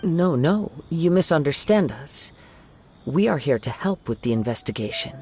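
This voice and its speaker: Calm, courteous young wanderer. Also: male voice, a little high, normal speed. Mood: reassuring. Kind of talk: anime game dialogue. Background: music.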